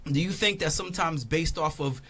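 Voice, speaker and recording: Radio host guy, slightly high voice, stumbles and repeats himself, urges the listeners on, dead dry mic. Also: fairly quick, bright, forceful tone, dry recording. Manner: repeats words, exhorting, slangy. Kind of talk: casual radio interview.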